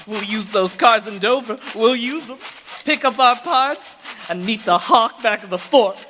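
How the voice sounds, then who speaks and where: A woman's voice: a little high, a bit bright. A woman playing a taunting, drawling outlaw in a Western radio drama, recorded on a theater stage. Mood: gloating. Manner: drawn-out, taunting delivery with chuckles. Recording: noisy stage recording in echoing hall.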